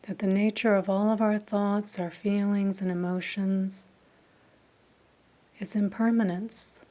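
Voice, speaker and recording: A woman leading a guided meditation, speaking softly and calmly with long pauses between phrases. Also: mid-range voice. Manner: slow, soft, calm with long pauses. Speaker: meditation teacher.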